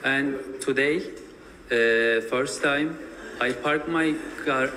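Hesitant young man with Turkish accent. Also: medium pitch. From Turkey. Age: young adult. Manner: hesitant, halting English with many fillers. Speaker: student in court. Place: courtroom. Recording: reverberant courtroom with steady hiss.

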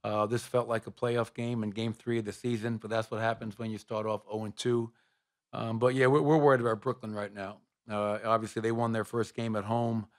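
Middle-aged man, basketball coach, low voice, flat delivery, postgame press room, table mic. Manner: measured, even answers with frequent fillers. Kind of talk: postgame press conference answers. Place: press room in front of a sponsor backdrop. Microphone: table microphone.

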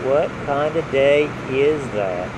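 A man narrating his motorcycle ride from a helmet mic in a relaxed, unhurried way, with wind and engine noise. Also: medium pitch. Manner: relaxed, unhurried, talks while riding. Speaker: motovlogger. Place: on a motorcycle outdoors. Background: road and wind noise.

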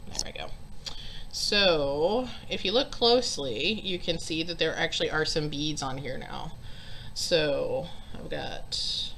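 A woman's voice: lowish voice, gravelly, sharp tone, a little hiss or hum. A woman in her thirties chatting casually to a webcam at home about her stitching, rambling with lots of ums, sounding congested. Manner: rambling, chatty, frequent ums and self-deprecating laughs. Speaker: cross stitch vlogger. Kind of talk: casual chat to camera. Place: home craft room, indoors.

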